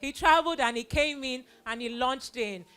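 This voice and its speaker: Bright, high-pitched woman giving thanks. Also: moderate pace, clear, resonant tone. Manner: effusive, exclamatory, repeats phrases for emphasis. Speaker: event convener giving a vote of thanks. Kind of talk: informal thank-you speech to an audience.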